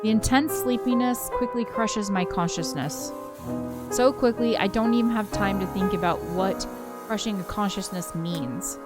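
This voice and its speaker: A woman's voice: mid-range voice, moderate pace. Woman reading aloud over prominent music. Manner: giggly, informal asides. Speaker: game streamer reading a visual novel aloud. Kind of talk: casual reading aloud with chatty co-host banter. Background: game soundtrack music.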